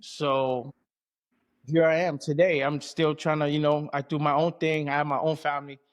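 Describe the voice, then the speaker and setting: Man, fairly high voice, from American Samoa and California, athlete guest, colloquial, conversational. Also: level tone, normal speed. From American Samoa and Southern California. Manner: colloquial, frequent "you know what I mean". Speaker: combat sports athlete being interviewed. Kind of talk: casual conversation.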